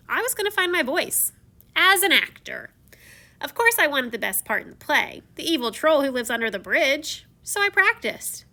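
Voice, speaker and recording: Storybook prince-turned-beast, read by a woman: dramatic, self-mocking, confiding, bright higher voice. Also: vocal fry, heavily acted. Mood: confiding, eager. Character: theatrical, once-shy young prince turned beast, telling his tale. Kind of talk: first-person storybook read-aloud.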